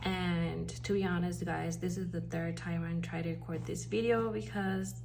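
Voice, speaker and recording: A Puerto Rican woman with a slightly low, gravelly voice talks her way through a planner unboxing. She rambles and corrects herself as she goes. A steady hum and background noise sit under the home recording. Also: moderate pace. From Puerto Rico. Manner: chatty, rambling, self-correcting. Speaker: planner vlogger, stay-at-home mom. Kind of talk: casual unboxing vlog.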